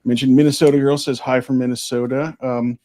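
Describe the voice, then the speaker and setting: Relaxed man rambling on a livestream. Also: medium pitch, fairly quick. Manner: relaxed, rambling, with many fillers. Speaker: livestream guest. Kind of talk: casual livestream chat.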